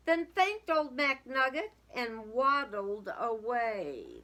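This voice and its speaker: Slow, higher-voiced woman reading to children. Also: fairly bright tone, rough recording. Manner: dramatic storybook delivery, questioning listeners. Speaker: teacher reading aloud to young children. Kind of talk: expressive picture-book read-aloud with casual asides to young listeners.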